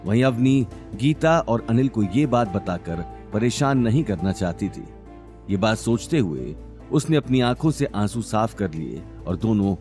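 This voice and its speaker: Deep, animated male story narrator. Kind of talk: dramatic scripted story narration.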